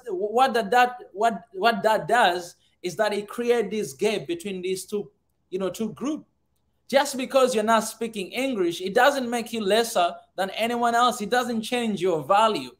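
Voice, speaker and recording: A Tanzanian man whose first language is Swahili, hosting an open conversation in an earnest way and repeating words as he thinks. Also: high voice. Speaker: host. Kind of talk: casual conversation.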